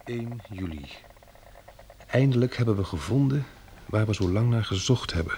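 A man acting in a radio drama as a practical, sober farmer surviving a catastrophe, speaking in a measured, matter-of-fact way. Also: fairly low pitch, lively intonation, dark tone, a little hiss or hum. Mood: sober, weary.

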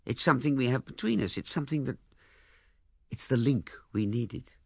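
A man voicing an awkward young man who talks in halting, stammering bursts. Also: medium pitch, animated, gravelly, husky, no echo, studio quality, voice pushed up. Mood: earnest. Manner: halting, stammering, loud and blunt.

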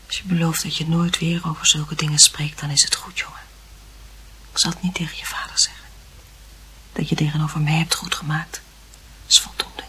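A woman acting in a radio drama as a concerned, gently admonishing mother, with a husky, breathy voice. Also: low-pitched, level tone, fairly quick, pauses often, in a whisper, poor audio. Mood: gentle, forgiving.

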